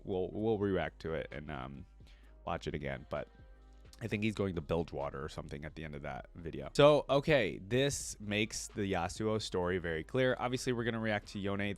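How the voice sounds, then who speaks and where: Low-pitched man reacting over music. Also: brisk. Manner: informal, exclamatory asides. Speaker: reaction video host. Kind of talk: casual reaction commentary mixed with reading story text aloud.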